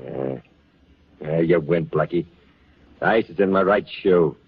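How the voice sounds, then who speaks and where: A man's voice: deep voice, unhurried, breathy edge, no echo, heavily acted. An actor playing a blustering, panicky diamond thief with slangy tough-guy talk, in 1940s radio drama style. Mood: grudging. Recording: muffled vintage 1940s radio recording.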